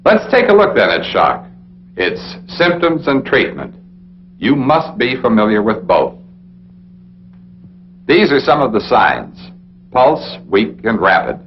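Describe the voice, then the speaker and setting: An American man narrating a 1960s military training film in an authoritative, deliberate, instructional way, speaking straight to the listener. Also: mid-range voice, huge pitch swings. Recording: dull, noisy 1960s film soundtrack with hum.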